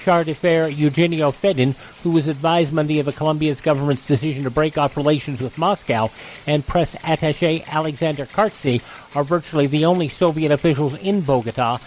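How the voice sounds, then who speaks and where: Higher-voiced American man reading news. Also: a little fast, darkish tone, slight background noise, rough recording. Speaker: radio host reading historical news. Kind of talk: scripted newscast reading.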